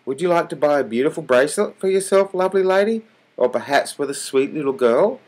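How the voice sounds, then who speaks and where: A man voicing a mean, sly salesman who flatters falsely and schemes greedily. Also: on the high side, a little fast, voice pushed up. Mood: flattering.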